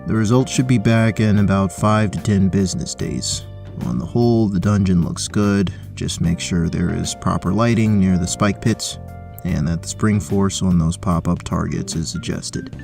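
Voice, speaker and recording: A man playing a young, unflappable dungeon inspector, formal, pedantic and deadpan, in an audio drama. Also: deep voice, moderate pace, creaky, soft background music. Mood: formal, unruffled. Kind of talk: audio drama character acting.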